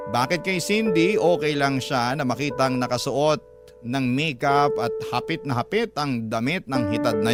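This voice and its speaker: Guy narrating a radio love story like a confession, normal mid pitch, conversational, loud music under him. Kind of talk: first-person dramatic narration of a listener's letter. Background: prominent background music.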